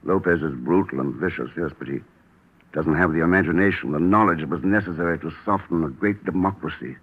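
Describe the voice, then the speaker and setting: An actor playing a composed, shrewd secret agent, speaking in a measured, articulate way in a 1940s radio drama. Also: male voice, low-pitched. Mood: grave, thoughtful. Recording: muffled, hissy old radio recording.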